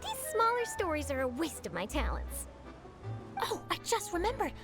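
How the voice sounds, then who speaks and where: A woman's voice: very high voice, wildly swooping pitch, moderate pace, music in the background, exaggerated voice. A woman playing an eager, ambitious young newspaper reporter in an anime-style game, bubbly and emphatic.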